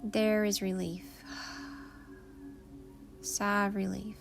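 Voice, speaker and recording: Slow-speaking woman guiding meditation. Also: medium pitch, fairly even intonation, faint music behind. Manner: soothing, unhurried instruction with long pauses. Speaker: meditation guide. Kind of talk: guided meditation.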